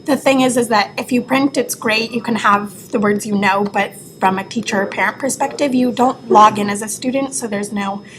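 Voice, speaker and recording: Woman explaining casually over clear background noise. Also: on the high side, normal speed, hardly any pauses. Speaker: reviewer presenting an educational website. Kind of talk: casual screen-recorded walkthrough.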